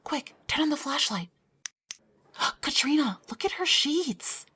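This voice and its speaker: A woman's voice: high-pitched, sing-song, slow pace, pushed and bright, dry recording, exaggerated voice. A woman playing a catty schoolgirl sidekick who taunts and mocks sarcastically in an audio drama. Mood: taunting. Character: catty schoolgirl sidekick bully, mocking, egging on.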